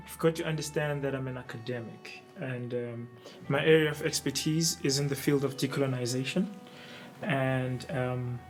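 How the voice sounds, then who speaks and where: A man, an academic, answers interview questions in a lecturing tone. His voice is mid-pitched, and he often stops to hesitate. He builds his arguments slowly. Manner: lecturing, with frequent "um" pauses. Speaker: academic and PhD researcher. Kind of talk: documentary interview.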